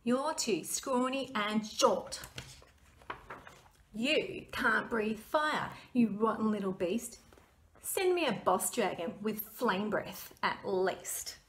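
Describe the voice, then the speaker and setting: A woman playing a cheeky young male knight in a children's storybook, taunting with a drawn-out sneer. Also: medium pitch, expressive, a bit bright, slight background noise. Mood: scornful. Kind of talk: children's storybook read-aloud. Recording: roomy echo.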